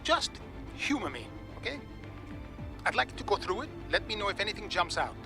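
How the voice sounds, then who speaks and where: A fairly high-voiced male racing team boss in a game cutscene. He is earnest and supportive, smoothing things over with reassurance. Clear music plays behind him. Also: big pitch swings, a little fast, full character voice. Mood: reassuring. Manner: reassuring, conciliatory. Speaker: team principal. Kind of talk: video game cinematic dialogue.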